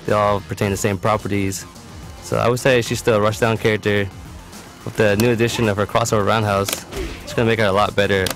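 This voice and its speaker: A man with a fairly low voice walks through a fighting game character in a casual, matter-of-fact way. He explains like a competitive player giving a guide. Game music plays clearly behind his voice-over. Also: flat delivery, fast talker. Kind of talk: explanatory video game tutorial commentary.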